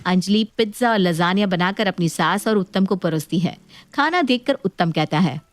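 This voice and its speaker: A woman's voice: on the low side, lively intonation, normal speed, no echo. A woman narrating a scripted domestic tale as storyteller.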